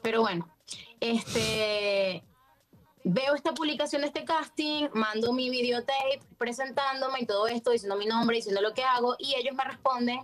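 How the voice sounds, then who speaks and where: A Venezuelan actress in a casual interview. She speaks in a somewhat high voice with an effusive, expressive delivery and the accent of her home country. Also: normal speed, soft background music. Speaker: actress guest.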